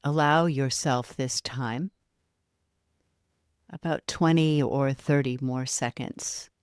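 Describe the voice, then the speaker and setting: Slow, soothing woman guiding relaxation. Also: very deep voice, smooth, dry recording, studio quality. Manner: soft, soothing, unhurried with long pauses. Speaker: yoga nidra guide. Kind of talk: guided relaxation meditation.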